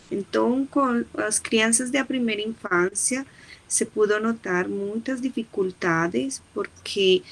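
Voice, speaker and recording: Slow, halting woman with Colombian Spanish accent. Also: medium pitch, vocal fry, a little hiss or hum, low-quality recording. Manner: halting, searching for words, mixes Portuguese and Spanish. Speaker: teacher. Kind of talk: online class discussion.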